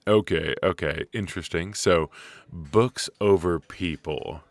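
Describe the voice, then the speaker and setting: A man acting a friendly, chatty extrovert at a coffee shop mixer in an ASMR audio roleplay, conversational and reassuring, pausing for an unheard listener. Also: deep voice, no echo. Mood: curious, easygoing. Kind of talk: ASMR roleplay, casual one-sided conversation.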